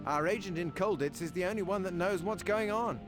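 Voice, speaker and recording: An actor playing a commanding, urgent Allied officer in a military video game, speaking in clipped, formal phrases. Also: male voice, sits high, fairly quick, over music, close to an everyday voice. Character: urgent, commanding male Allied army officer briefing a general.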